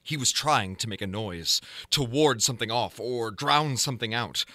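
A man giving a dramatic reading of a gothic horror story in a theatrical, deliberate manner. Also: medium pitch, sharp tone, no echo. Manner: theatrical, deliberate, ornate literary delivery. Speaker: narrator, audiobook reader.

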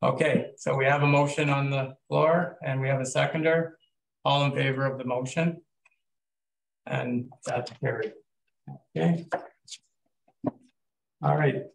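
A Canadian man chairing a municipal council meeting as mayor, moving motions along in a plain, steady way over a video call. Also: mid-range voice, unhurried, gravelly, pauses often, reverberant, a little hiss or hum, rough recording.

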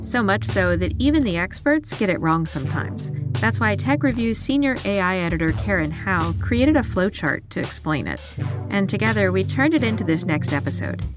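A woman hosts a podcast, reading a scripted introduction. Her pitch is average, and music plays clearly under her voice. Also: animated, moderate pace. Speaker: podcast host.

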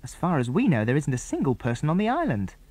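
A man's voice: fairly high pitch, huge pitch swings, quick pace, darkish tone, breathy edge, dry recording, voice pushed up, heavily acted. Man as a puzzled, polite British girl. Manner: puzzled, questioning. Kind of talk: children's storybook reading.

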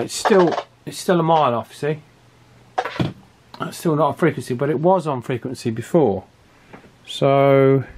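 A man's voice: medium pitch, darkish tone. Middle-aged man thinking aloud at workbench. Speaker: radio repair technician and presenter. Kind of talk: informal hands-on workbench commentary. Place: small indoor workshop, at the bench.

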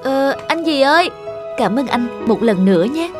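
Cartoon drama role: anxious, earnest granddaughter, fairly high natural voice, music underneath. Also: female voice, lively intonation, measured pace. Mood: surprised, grateful. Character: worried, grateful adult granddaughter. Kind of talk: cartoon dialogue.

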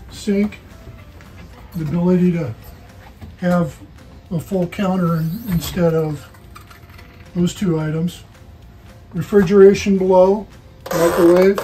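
A man's voice: high-pitched, mellow, poor audio. A man, giving a matter-of-fact walkthrough tour of a boat in an indoor showroom. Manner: matter-of-fact, listing features.

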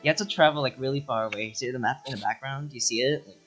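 A man playing a flustered, impatient, foul-mouthed young sorceress in an improvised comedy fandub, rambling and halting. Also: medium pitch, a bit bright, soft background music, natural voice.

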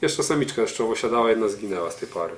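A man's voice: lowish voice, expressive, quick pace. A man in his thirties talking casually and choppily as a pigeon breeder, often tagging his phrases with a question. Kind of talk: casual talk presenting birds. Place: indoor room at a table. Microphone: camera mic a few steps away. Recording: roomy echo, birds cooing.

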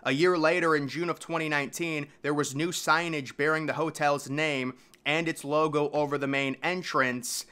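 Bright-voiced man narrating casually. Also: a little high, fairly even intonation, normal speed, clean tone. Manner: informal, slangy asides. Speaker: video host and narrator. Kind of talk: documentary-style narration with casual commentary.

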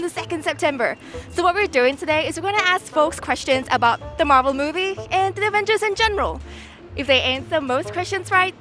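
A bright, high-pitched woman hosts on a bustling city street, her voice swooping up and down as she teases passersby. She talks in an upbeat, playful way and laughs often, with music playing behind her. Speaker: street interviewer and host. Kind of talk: upbeat vox-pop hosting. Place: outdoors on a busy city shopping street.

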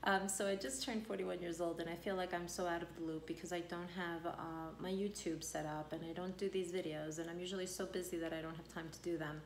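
A woman's voice: on the low side, fairly even intonation, brisk, few pauses, a little hiss or hum. A woman, talking to camera about her working life in a chatty, rambling way full of fillers. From born in Argentina, raised on Long Island, New York. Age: 40s. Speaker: real estate broker. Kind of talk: casual personal introduction to camera. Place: home living room, indoors. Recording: echoey room.

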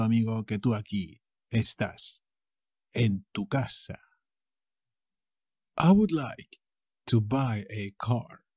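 A man from Spain with a Castilian Spanish accent, teaching a language lesson, saying each phrase slowly and repeating it with variants. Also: medium pitch, mellow, breathy edge, no room sound, clean studio sound.

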